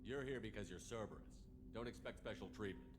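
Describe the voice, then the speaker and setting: A man's voice: lowish voice, fast, close to an everyday voice. A man playing a blunt, domineering and distrustful spaceship commander in a video game. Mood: cold, stern. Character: curt, hard-edged male military spaceship commander, distrustful and domineering. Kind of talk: video game dialogue acting.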